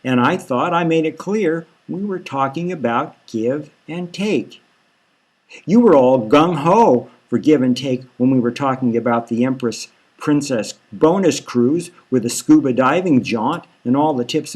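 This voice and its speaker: A man's voice: medium pitch, expressive, measured pace, darkish tone. A man voicing a slick, patronizing male boss in a satirical audiobook, with a folksy, cajoling hard-sell manner. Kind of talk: satirical audiobook character reading.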